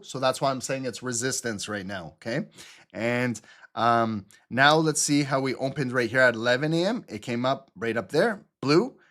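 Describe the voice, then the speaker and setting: A man hosting a live trading stream, walking viewers through chart setups in a teaching manner, checking in with "okay?" and "right?" and often pausing on fillers. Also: mid-range voice, fairly bright tone. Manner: explanatory, repeats "okay?" and "right?", frequent fillers. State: throat clearing, choking on water. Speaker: trading channel host. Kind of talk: live market analysis walkthrough.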